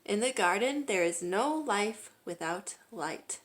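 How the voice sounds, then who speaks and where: A woman reading a children's picture book aloud as a storyteller, with expressive, emphatic delivery. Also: mid-range voice, takes it slowly.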